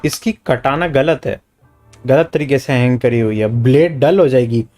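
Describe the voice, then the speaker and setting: Male game streamer, Indian accent: mid pitch, very rapid casual commentary, faint game music. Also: darkish tone. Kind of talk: live gameplay commentary, reading dialogue aloud.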